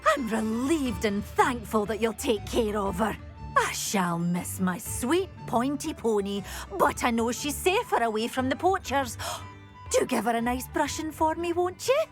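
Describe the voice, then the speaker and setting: Kindly fussy game lady who loves her animals, high animated voice. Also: a little slow, soft background music. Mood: fond, wistful. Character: kindly, quaint, tender-hearted unicorn-loving woman. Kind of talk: video game character dialogue.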